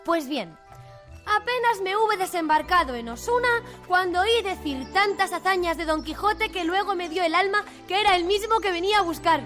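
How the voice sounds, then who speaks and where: A princess in a radio play, high pitched, courtly and formal, music underneath. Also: female voice, normal speed, fairly bright tone. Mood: courtly, coaxing. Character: feigned princess, courtly lady pleading to a knight. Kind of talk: radio drama acting.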